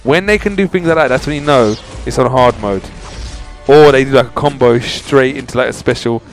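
A man's voice: medium pitch, a little fast, soft background music. A man hosting a gaming channel, chatting casually and in exasperation to his viewers as he comments on his play. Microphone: voice-over over mobile game footage.

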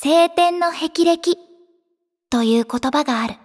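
A woman playing an earnest, expressive teenage girl idol in an anime audio drama. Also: very high voice, takes it slowly. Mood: wistful narration. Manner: girlish, emotive, earnest. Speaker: voice actress, narrator and lead.

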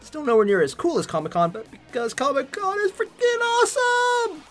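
A young man hosting a reaction video, chatting casually with joking, excitable exclamations. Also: very high-pitched, moderate pace, faint music behind. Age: young adult. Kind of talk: casual banter reacting to an animated parody. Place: small furnished room at home, on a couch.

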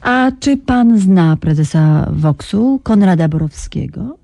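An actress playing a persistent, self-assured investigative journalist in a radio drama, probing and ironic. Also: female voice, on the low side, lively intonation, takes it slowly, creaky, warm tone, dry recording, full character voice. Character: persistent female investigative journalist, shrewd and cool. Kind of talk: 1990s radio drama acting.

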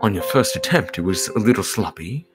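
A man voicing a polite, perfectionist young cat-man gentleman in an amateur game dub, speaking carefully and formally. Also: on the low side, lively intonation, brisk, over music, pitched below the performer's own voice, close to an everyday voice. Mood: gently instructive.

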